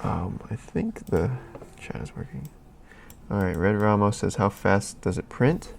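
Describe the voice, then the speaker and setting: A man's voice: lowish voice, lively intonation, a little slow, vocal fry, a little hiss or hum. A man hosting a live stream, chatting casually and rambling as he answers questions from chat, a little sniffly. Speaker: livestream host.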